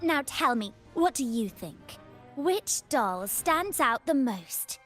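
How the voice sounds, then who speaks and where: A woman's voice: sits high, big pitch swings, slow, fairly bright tone, exaggerated voice. An actress playing a vain, theatrical young woman who savors her words in a video game. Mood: frustrated, vain. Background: game music.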